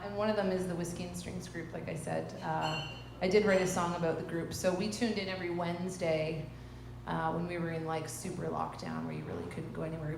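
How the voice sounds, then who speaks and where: A woman's voice: on the low side, noisy background. A woman singer-songwriter on a live stage, introducing her song in a rambling, self-deprecating way. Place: live music hall stage. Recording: echoey hall with guitar behind.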